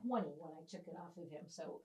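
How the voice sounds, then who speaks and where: A woman in her seventies hosts a relaxed conversation, talking in a lower register. She is chatty and animated and often laughs while she tells stories. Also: fast talker, husky. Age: 70s. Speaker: host, mental health coach. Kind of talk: casual interview chat.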